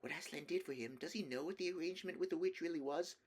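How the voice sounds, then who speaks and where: A man's voice: a little high, quick pace, husky, voice pushed up. A man voicing an earnest, anxious, insistent young girl from a storybook. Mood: hushed, insistent.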